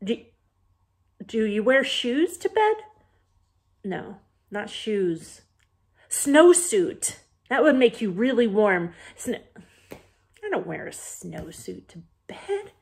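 A woman in her forties hosting a storytime for young children, talking playfully with puzzled, questioning pauses as if forgetful. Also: on the high side, very animated, creaky. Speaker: children's library storytime host. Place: small bedroom, indoors.

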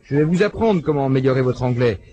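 A man voicing a lecture in a translated voice-over, telling anecdotes with animated delivery and acting out the quoted dialogue. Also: medium pitch, fast talker, dull audio.

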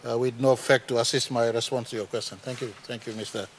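Brief: Papua New Guinean man, even mid-range voice, measured answer in parliament. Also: medium pitch, slight background noise. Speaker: prime minister answering a question. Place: large parliament chamber.

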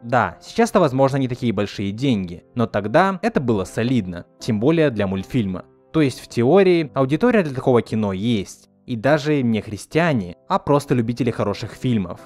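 A man delivers a scripted video essay with a lively, swinging intonation. His pitch sits in the middle of the male range. He sounds earnest and opinionated, with music playing faintly behind him. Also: fairly quick, few pauses. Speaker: video essayist reviewing an animated film. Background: background music.

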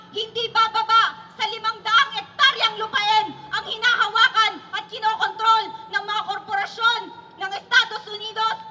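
Extremely high, forceful Filipino woman speaker. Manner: declamatory. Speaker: protest speaker. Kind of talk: rally speech. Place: outdoor protest rally stage. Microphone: stand microphone through PA.

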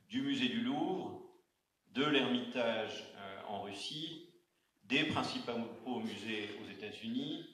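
A man moderating a round-table discussion in an auditorium, speaking haltingly with many fillers and restarts. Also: fairly low pitch, a bit bright. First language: not Arabic. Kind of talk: hesitant spoken introduction at a panel. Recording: echoey auditorium sound.

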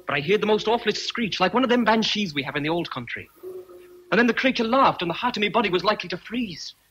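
An actor playing a deferential, superstitious Irish manservant in a radio drama, with a husky voice and an Irish accent. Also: male voice, sits high, expressive, quick pace, sharp tone, muffled recording, a little hiss or hum. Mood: fearful, earnest.

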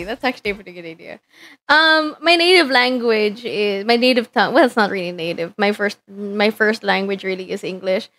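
Filipino-born young woman streaming, chatty and giggly, voice a touch high, lots of ums. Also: a bit bright. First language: Tagalog. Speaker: VTuber streamer. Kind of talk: casual live-stream chat while working.

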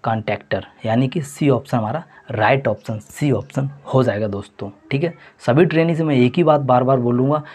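A man with a husky, slightly breathy voice works through a mock test as a teacher. His pitch sits in an ordinary middle range. He repeats the answers and keeps calling his viewers friends. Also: brisk, hardly any pauses, darkish tone. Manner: repetitive, addresses viewers as friends. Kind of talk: exam question walkthrough.

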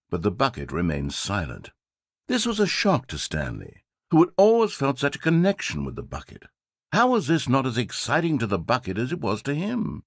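A man's voice: on the low side, slightly rough, dry recording, exaggerated voice. A man voicing a sardonic, theatrical game narrator, recorded in a studio. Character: sardonic, theatrical male storyteller.

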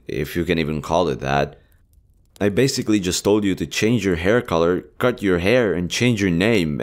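A man voicing a gentle, smitten army general in a romantic roleplay audio, tender, earnest and a little hesitant. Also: deep voice, natural voice. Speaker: voice actor.

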